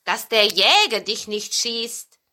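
A woman voicing a polite, cautioning fox in a storybook, with emphatic, drawn-out words. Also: a little high, big pitch swings, bright, forceful tone, exaggerated voice. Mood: warning.

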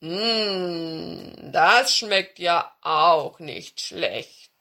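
A woman's voice: fairly low pitch, animated, gravelly, bright, forceful tone, exaggerated voice. A woman voicing a big wild male bear who grumbles in an easygoing way in a children's story. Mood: pleased. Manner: growly grumbling, drawn-out words.

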